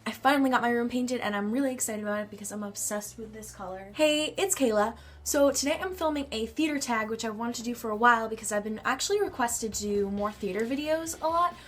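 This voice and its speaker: A woman's voice: on the high side, quick pace, hardly any pauses, a bit bright. A teenage girl with a nasal, congested voice from a cold, chatting in a bubbly way in her bedroom. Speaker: vlogger. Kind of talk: casual chat answering a tag.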